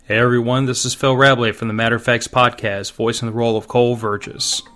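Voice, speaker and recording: Medium-pitched man reading a podcast promo. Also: flat delivery, fast, a bit bright, soft background music. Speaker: podcast host and voice actor. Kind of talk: scripted promo read.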